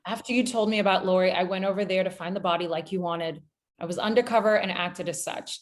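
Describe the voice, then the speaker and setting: A woman's voice: lowish voice, fairly even intonation, fast, slight background noise, lightly acted. Actress as a guarded, defensive woman. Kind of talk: table read acting of a screenplay.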